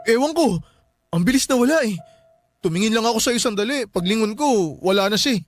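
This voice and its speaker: A man's voice: sits high, a little fast, no room sound, clean studio sound. Jumpy young guy who sees ghosts. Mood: alarmed. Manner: excitable, anxious, calling out. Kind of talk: radio drama acting. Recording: music bed behind.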